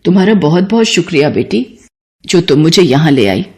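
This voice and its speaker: A woman voicing a kind, gentle older woman who speaks softly. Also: fairly low pitch, very animated, normal speed. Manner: gentle, soft-spoken.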